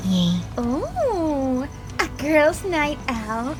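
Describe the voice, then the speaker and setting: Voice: sits high, huge pitch swings, extremely slow, exaggerated voice. A performer voicing a doting, protective teenage big sister, gushing and motherly, in a cartoon fan drama. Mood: teasing, fond. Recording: music under the voice.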